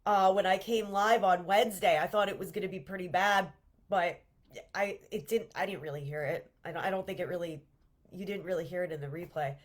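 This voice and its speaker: A woman's voice: lowish voice, fairly quick. Congested woman leading a live workshop. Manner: warm, earnest and encouraging, with repeated restarts. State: congested with a cold. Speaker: manifestation coach hosting a livestream workshop. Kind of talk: live-streamed workshop talk. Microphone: livestream camera mic.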